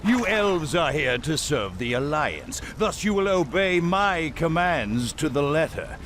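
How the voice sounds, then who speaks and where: A man's voice: a little high, very animated, unhurried, a bit bright, slight background noise. An actor playing an arrogant, bigoted human army commander in a fantasy game, pompous and contemptuous as he gives orders. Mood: haughty, commanding. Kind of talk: scripted fantasy game cutscene acting.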